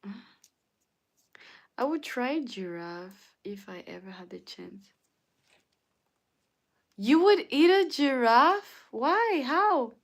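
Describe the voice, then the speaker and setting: A woman with as her hosting a casual livestream, answering viewers' comments and sometimes dropping to a soft whisper. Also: high voice, lively intonation. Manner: slow, chatty, often drops to a soft whisper. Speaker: livestream host.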